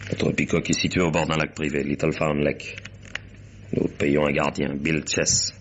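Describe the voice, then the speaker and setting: An actor playing a brusque, imperious businessman and wronged husband in a French radio mystery, with a husky voice. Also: a bass voice, little pitch movement, normal speed, dull audio, slight background noise. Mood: businesslike, uneasy. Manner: brusque, domineering, blunt. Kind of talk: French detective radio drama acting.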